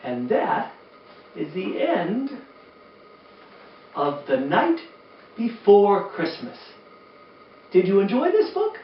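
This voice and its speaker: An older man hosting a children's storytime, speaking expressively to young listeners with drawn-out emphasis. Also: sits high, sing-song. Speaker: children's storytime host and reader, former teacher. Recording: roomy, distant, dull sound with faint noise.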